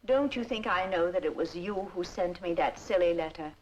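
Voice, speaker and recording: An actress playing a middle-aged, sharp-tongued, mocking aunt in a radio drama, haughty and articulate. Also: female voice, on the low side, normal speed, lo-fi sound, close to an everyday voice. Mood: accusing, firm. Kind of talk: old-fashioned radio drama acting.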